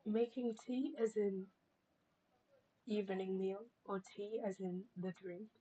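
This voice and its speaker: A woman's voice: mid-range voice, fairly even intonation, slow pace, warm tone, slightly rough, rough recording. A British woman chatting casually on a live stream, polite and musing as she talks.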